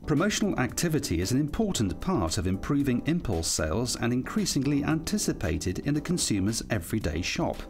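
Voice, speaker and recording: A man's voice: medium pitch, big pitch swings, fairly quick, hardly any pauses. A British man reading scripted narration for a training film. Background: background music.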